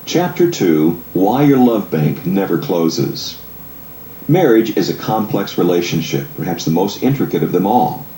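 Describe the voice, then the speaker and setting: A man reading scripted audiobook narration in a measured, explanatory way. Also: deep voice, sing-song, dark tone. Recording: echoey room, faint background noise.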